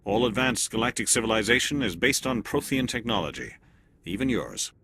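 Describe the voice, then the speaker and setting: A calm male turian alien agent speaks in a low, clipped, authoritative way. His game-cutscene lines are measured and unhurried, with a light hiss in the background. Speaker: elite agent observing a mission. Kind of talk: video game cutscene acting.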